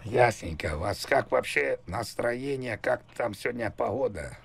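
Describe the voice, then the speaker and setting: A man in his fifties making a prank phone call, talking in a rambling, halting, insistent way full of innuendo. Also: mid-range voice, animated, gravelly, breathy edge. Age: 50s. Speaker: prank caller. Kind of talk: casual phone prank conversation.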